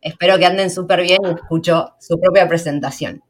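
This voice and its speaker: Fast-talking Argentine woman podcast host. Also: on the low side, steady tone. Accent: Argentine Spanish. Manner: fast, slangy, chatty with laughs. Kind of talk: casual podcast chat.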